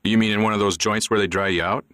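A man's voice: fairly low pitch, steady tone, fast talker, a bit bright, dry recording, studio quality, voice pushed up, lightly acted. A man doing a brash street-smart guy. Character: street-smart male singer, brash friend.

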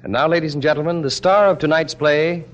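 A man's voice: a little high, a little fast, noisy background. An American man announcing a radio show in a formal 1940s style. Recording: dull 1940s radio broadcast, music behind.

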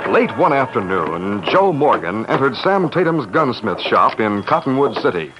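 A man's voice: medium pitch, very animated, moderate pace, lightly acted. A man narrating a vintage radio western in a grand, theatrical announcer's style. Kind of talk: dramatic 1940s-50s radio western narration. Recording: muffled vintage radio recording with music.

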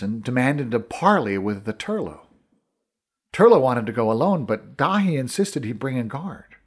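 A man's voice: medium pitch, pitched above the performer's own voice. A man voicing a dutiful leprechaun soldier in a fantasy audiobook, giving reports in a formal manner.

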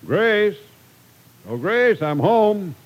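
A man's voice: high-pitched, big pitch swings, extremely slow, heavily acted. An actor playing a middle-aged businessman and husband, genial, easygoing and dryly teasing, in an old radio drama. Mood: cheerful. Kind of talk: 1940s radio drama acting. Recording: noisy old radio recording.

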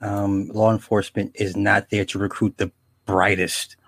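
A man's voice: fairly low pitch, flat delivery, moderate pace, vocal fry, fairly bright tone. A male host asking short prompting questions in a casual interview. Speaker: podcast host.

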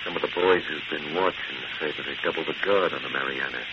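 An actor playing a rough, slangy gangster's henchman who grumbles through his work in an old-time radio drama. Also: a bass voice, quick pace. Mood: grumbling. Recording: muffled, noisy vintage radio recording.

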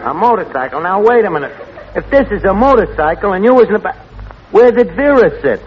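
Casting: lowbrow wisecracking New York tavern boss, high animated voice, 1940s radio comedy, noisy vintage recording. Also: male voice, quick pace, crowd noise. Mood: sardonic, teasing. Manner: streetwise, mangled grammar, sardonic. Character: wisecracking, scheming lowbrow tavern manager, malapropisms, big ideas. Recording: muffled old radio recording, audience, noisy.

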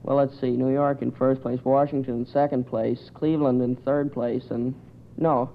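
Guy on old radio quiz, thinking out loud, earnest, medium pitch, thin sound. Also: level tone, normal speed, creaky, slight background noise. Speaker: quiz panelist. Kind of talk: thinking aloud while answering quiz questions. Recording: tinny, narrow old radio recording.

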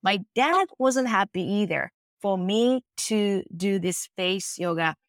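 A woman's voice: mid-range voice, lively intonation, takes it slowly, no room sound. A middle-aged woman with a Japanese accent tells her story in an earnest, reflective way. She often slips into quoted lines. The recording is dry and close. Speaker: face yoga teacher. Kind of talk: interview answers.

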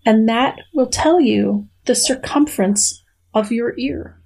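A woman's voice: on the high side, slow, vocal fry, warm tone, breathy edge, poor audio. Precise, playful middle-aged woman explaining science. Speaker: guest scientist reading a research paper. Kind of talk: dramatic reading and explanatory conversation.

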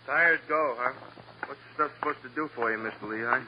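Radio cop, played by a man: American, quick, sarcastic interrogator, needling, on a dull vintage recording. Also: medium pitch, heavy background noise, natural voice. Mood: mock-polite. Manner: sarcastic, pressing. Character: needling, sardonic male police detective. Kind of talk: classic radio detective drama acting.

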